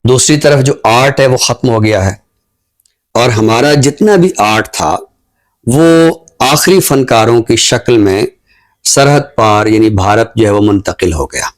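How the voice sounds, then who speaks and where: A middle-aged man with a Pakistani accent gives reflective social commentary, explaining deliberately and pausing mid-sentence. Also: medium pitch. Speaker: literary talk show commentator.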